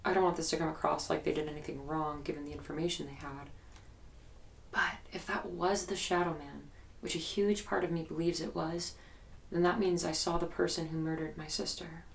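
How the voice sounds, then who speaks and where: An actress playing an earnest young woman recounting frightening memories in a halting, guarded, matter-of-fact interview style for an audio drama. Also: sits low, fairly even intonation, creaky. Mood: frustrated, measured. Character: haunted, earnest young woman recalling childhood terror.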